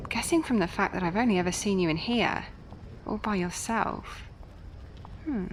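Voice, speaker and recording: An actress playing a young, sardonic Victorian nurse with a wry, chatty manner in an audio drama. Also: female voice, mid-range voice, slight background noise, close to an everyday voice, poor audio. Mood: curious, gentle. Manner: wry, conversational, quick to grumble.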